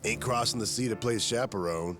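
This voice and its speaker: An actor playing a gruff, weathered middle-aged yakuza in a video game, wry and world-weary, with dry, clipped phrasing. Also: male voice, lowish voice, a little fast, over music, lightly acted. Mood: teasing. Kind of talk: video game cutscene acting.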